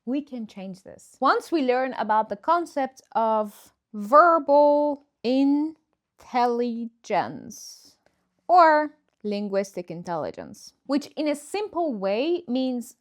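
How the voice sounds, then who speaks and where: A woman's voice: on the high side, big pitch swings, slow. A woman with a Persian accent, a native Farsi speaker, coaching listeners directly in an encouraging, emphatic instructional talk. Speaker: presentation skills coach, video host.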